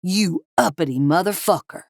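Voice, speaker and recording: A woman voicing a short-tempered male meth cook who yells crude threats. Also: a little high, animated, normal speed, dry recording, lightly acted. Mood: yelling. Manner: yelling, crude, menacing.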